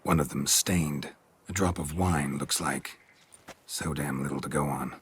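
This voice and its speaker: A man playing a stoic, world-weary monster hunter in a video game, speaking in terse, deadpan phrases. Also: extremely low pitch, measured pace, slight background noise. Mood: calm, matter-of-fact.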